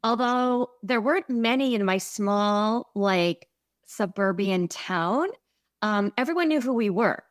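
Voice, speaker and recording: A woman's voice: medium pitch, slow pace, no room sound. Midwestern woman co-host, reflective and earnest, dry close mic. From American Midwest. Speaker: podcast co-host. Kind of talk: conversational podcast chat.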